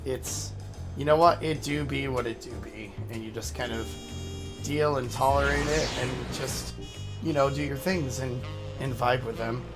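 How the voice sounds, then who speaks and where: Casual streamer, male, slightly raspy, rambling and relaxed, music underneath. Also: medium pitch, normal speed. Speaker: VTuber streamer. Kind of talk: casual livestream chat. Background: game music.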